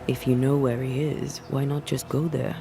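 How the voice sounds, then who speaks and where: A woman's voice: very deep voice, level tone, moderate pace, creaky, music in the background. An actress playing a solemn, principled ghost hunter woman in a dramatic video game. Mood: composed, direct.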